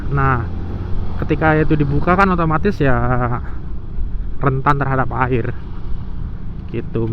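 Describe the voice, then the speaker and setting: A man with speech, chatting casually and thinking aloud to viewers as a motovlogger, recorded on a helmet intercom microphone. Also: mid-range voice. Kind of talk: casual vlog chat to viewers. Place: outdoors, riding a motorbike. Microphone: intercom microphone inside helmet. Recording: dull helmet intercom mic, loud traffic noise.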